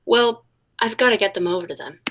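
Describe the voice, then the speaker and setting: An actress playing a trusting, polite heroine in a 1940s-style radio detective play, speaking earnestly. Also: female voice, mid-range voice, fairly quick, fairly bright tone, slight background noise, lightly acted. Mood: innocent.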